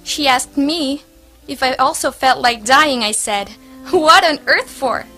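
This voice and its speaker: A woman's voice: high-pitched, animated, unhurried, sharp tone, faint music behind, heavily acted. A woman playing a naive, cheerful and pious nun in a stage play. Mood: naive, amused. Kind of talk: amateur stage play acting.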